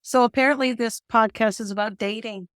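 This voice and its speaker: A woman with a slightly squeaky, higher voice, talking on a casual podcast. Her manner is wry and self-deprecating, and the recording is dry and close. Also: steady tone, a little fast, no room sound. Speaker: podcast co-host. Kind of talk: casual faith discussion.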